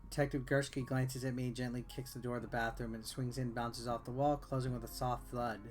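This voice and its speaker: A man's voice: mid-range voice, little pitch movement, brisk, a little mellow. A man reading visual novel text aloud as a game player, with offhand, wry asides. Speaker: video game reviewer and let's player. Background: game music.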